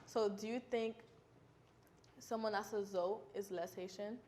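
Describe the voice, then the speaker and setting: A woman's voice: mid-range voice, steady tone, unhurried, warm tone, slight background noise. Curious teen girl telling anecdotes. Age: teens. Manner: inquisitive, tells small anecdotes. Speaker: teen co-host. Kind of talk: casual chat.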